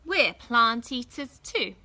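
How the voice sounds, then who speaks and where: A woman's voice: a little high, big pitch swings, slow, bright, forceful tone, exaggerated voice. A woman voicing a friendly male dinosaur in a children's storybook, speaking emphatically and reassuringly.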